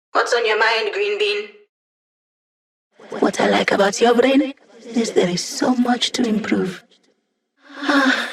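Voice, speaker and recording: An actress plays a young woman hacker living as a hologram in a video game. She is cocky and sardonic, tossing out slangy lines and teasing her squad in a medium-pitched voice. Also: natural voice. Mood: playful, teasing.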